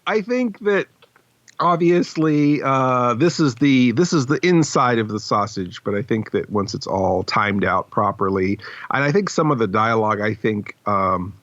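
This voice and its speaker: A man's voice: medium pitch, animated, measured pace, fairly bright tone, no echo. A man hosting a podcast, chatting casually about a script with wry, self-deprecating asides. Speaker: podcast host. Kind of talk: casual behind-the-scenes script discussion. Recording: slightly dull, dry close recording.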